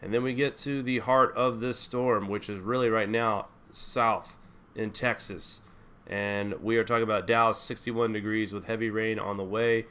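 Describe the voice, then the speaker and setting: A man's voice: fairly low pitch, fairly even intonation, normal speed, fairly bright tone, smooth. A man hosting a live news stream, talking viewers through a weather forecast in a folksy way. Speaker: host.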